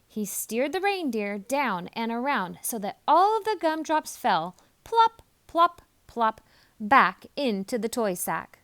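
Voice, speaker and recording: High, animated woman reading a storybook. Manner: expressive, deliberate emphasis on key words. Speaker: storybook reader. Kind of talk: animated children's story narration.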